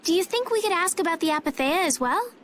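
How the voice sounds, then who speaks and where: A woman's voice: extremely high pitch, animated, quick pace, slight background noise. A woman playing a blunt, impatient teenage girl mage in a video game, with a grumbling manner. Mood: matter-of-fact.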